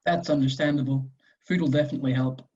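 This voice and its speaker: A performer reading the part of a friendly, gently teasing man in his late twenties, with a husky voice, at a script table read. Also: mid-range voice, fairly even intonation, fast, muffled recording, natural voice.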